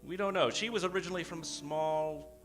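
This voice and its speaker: A man hosting a live old-time radio show as a grandiose, theatrical announcer with dramatic pauses. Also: a little high. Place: theater stage, before an audience. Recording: live stage show with music behind. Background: music.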